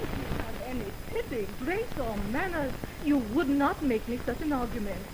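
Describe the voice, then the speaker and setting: A woman's voice: high-pitched, lively intonation, moderate pace. A woman playing a wounded, indignant young woman in love, in theatrical, declamatory 1920s radio Shakespeare style. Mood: wounded, reproachful. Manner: theatrical, declamatory verse delivery. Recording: noisy 1929 radio broadcast on optical film.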